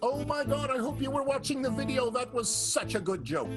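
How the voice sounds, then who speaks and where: A high-pitched male announcer introduces a show with theatrical relish. His delivery is playful and showy, with clear music running underneath. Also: big pitch swings, fairly quick. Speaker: host and narrator. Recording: music bed behind the voice.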